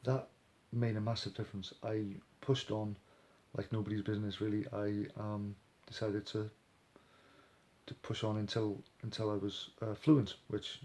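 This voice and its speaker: A man's voice: lowish voice, little pitch movement, moderate pace, a little mellow. A man, talking casually to camera and rambling with lots of ums and restarts. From Wales. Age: 40s. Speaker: language learner sharing his story.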